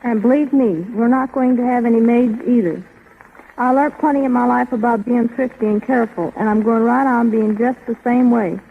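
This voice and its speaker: A tinny, narrow old radio recording of a woman in her twenties. Her voice is husky and a little high, and she answers in a rehearsed interview style. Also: fairly even intonation, normal speed. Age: 20s. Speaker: interview guest. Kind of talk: scripted-sounding 1930s radio interview.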